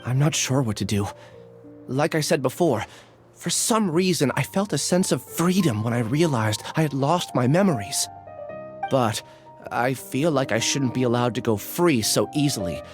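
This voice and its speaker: A man's voice: medium pitch, lively intonation, moderate pace, faint music behind, close to an everyday voice. An actor playing a timid, earnest young man in a video game, nervous and hesitant. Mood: troubled, unsure.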